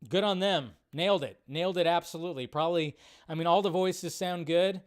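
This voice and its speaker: A man's voice: sits high, a little fast. A man hosting a live stream with casual, enthusiastic, rambling commentary over a video.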